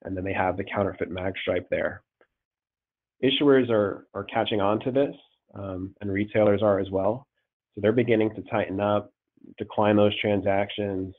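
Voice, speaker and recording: A man's voice: on the low side, steady tone. Congested man presenting over a phone line. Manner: halting, repeats words, many ums. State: congested with a cold. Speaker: payments risk manager presenting a webinar. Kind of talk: slide presentation over a call. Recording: tinny phone-line audio.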